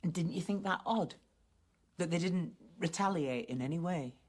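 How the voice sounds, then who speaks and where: A woman acting a probing, measured adult questioner in a naturalistic radio drama, plain-spoken. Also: fairly low pitch, a little mellow, close to an everyday voice. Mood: probing, calm.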